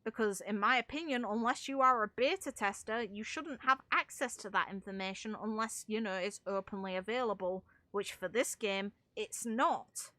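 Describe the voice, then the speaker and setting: A woman's voice: medium pitch, normal speed, few pauses, pushed and bright, no room sound. A young woman giving casual, opinionated game commentary in a blunt way, swearing freely. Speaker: gaming content creator.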